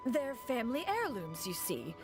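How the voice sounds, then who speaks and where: A woman playing a haughty, centuries-old immortal in a video game, speaking formally and imperiously with precise diction. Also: fairly high pitch. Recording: clear music behind the voice.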